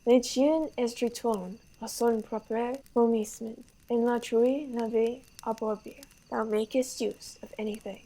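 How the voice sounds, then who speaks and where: A woman's voice: on the high side, fairly even intonation, measured pace, few pauses, warm tone, poor audio. A young woman acting a vain, horse-besotted young French prince in a Shakespeare radio play, grandiose and declamatory. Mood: haughty, teasing.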